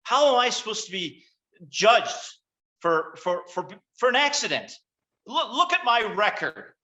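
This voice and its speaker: A man plays an evasive senator running for high office, cornered and flustered. His voice is high and bright, and he halts and stammers through his lines in a screenplay table read. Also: very animated, measured pace, full character voice. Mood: desperate, pleading. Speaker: actor in a virtual table read.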